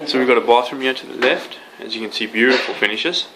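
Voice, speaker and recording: A man's voice: mid-range voice, fast, vocal fry, background noise. A man guiding a property tour, pointing out features in short descriptive remarks as he walks. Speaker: tour guide filming the property. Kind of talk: narrating a walk-through.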